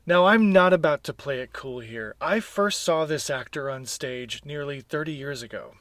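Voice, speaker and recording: A man's voice: on the high side, normal speed, a bit bright, no room sound, clean studio sound. A middle-aged American man hosting a podcast, introducing and interviewing a guest. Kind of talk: scripted introduction and live conversational interview.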